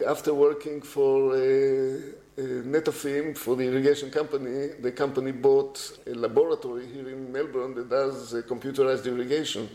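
Older Israeli-born male guest, mellow tone, hesitant delivery full of fillers, echoey room. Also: medium pitch, level tone, moderate pace. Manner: hesitant, many fillers and restarts. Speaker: guest, agriculture technology executive. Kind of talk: unscripted interview answers.

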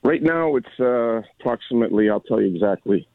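A man's voice: mid-range voice, expressive, brisk, no echo. A man in his sixties calling in to a radio show from a coffee shop, rambling and repeating himself in a chummy way. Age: 60s. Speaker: caller. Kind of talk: rambling phone-in call. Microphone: phone. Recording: tinny dry phone line.

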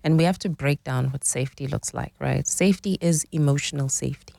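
A woman's voice: extremely low pitch, a little fast, creaky, dark tone, no echo. A woman in her forties, explaining relationship dynamics as a guest in a conversational interview, often checking in with "right?". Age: 40s.

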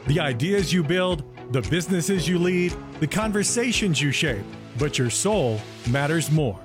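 A man reading a scripted, persuasive advertisement as an announcer. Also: on the high side. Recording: clear music bed behind voice.

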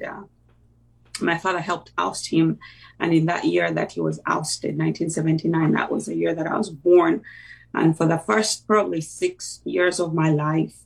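A middle-aged woman with a Ugandan accent and a very creaky, husky voice, heard a little way from the microphone. She talks conversationally as an interview guest, hesitating and pausing partway through her sentences. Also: low voice, a little mellow, far from the mic, poor audio. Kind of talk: conversational personal recollection.

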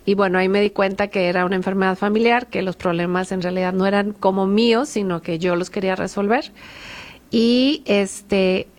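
A woman talks through her own family experience for a discussion panel. She speaks earnestly and haltingly, restarting phrases and filling pauses, at an ordinary middle pitch. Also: steady tone. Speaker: Al-Anon member sharing experience.

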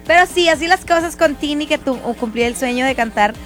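A woman's voice: high voice, fairly quick, a bit bright, soft background music. A young Mexican woman hosting a show, chatting in a gushing, slangy way about celebrity news. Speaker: radio show host. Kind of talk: casual entertainment chat.

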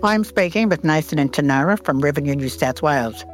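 A woman's voice: sits low, animated, fairly quick, soft background music. A woman hosting a radio interview, asking friendly, curious questions. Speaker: radio host.

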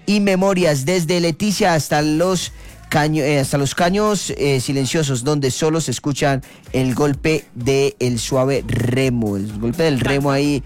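A man's voice: fairly high pitch, unhurried. A man with a Colombian accent hosting a casual radio show, chatting and reading promotional segments. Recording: music playing behind the voice. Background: background music.

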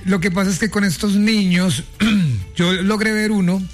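High-voiced man co-hosting radio. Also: a little slow, faint music behind. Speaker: radio co-host. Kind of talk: casual radio chat.

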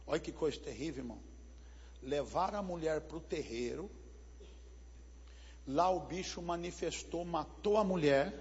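A pastor, telling a dramatic testimony in a sermon. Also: male voice, fairly high pitch. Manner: dramatic storytelling with sound words. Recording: muffled broadcast with hall reverb and hum.

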